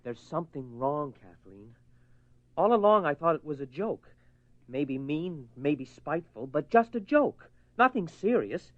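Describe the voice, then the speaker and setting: A man's voice: fairly high pitch, sing-song, measured pace. A man playing an exasperated, short-tempered husband in a radio horror play, blunt and argumentative. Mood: worried, pleading. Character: exasperated, short-tempered husband, worried about his obsessed wife. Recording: dull lo-fi radio recording.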